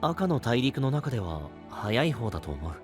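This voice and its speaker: A male actor playing a gentle, responsible young knight in an audio drama, soft-spoken and earnest. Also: mid-range voice, sing-song, moderate pace, soft background music, close to an everyday voice. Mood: somber explaining. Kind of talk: Japanese anime-style audio drama acting.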